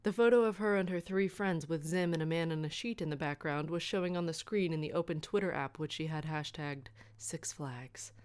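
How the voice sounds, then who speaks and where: A woman with a fairly low voice reads a scripted story aloud. She works as the narrator of comic fan fiction, delivering prepared prose. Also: brisk, few pauses, darkish tone, clear, resonant tone.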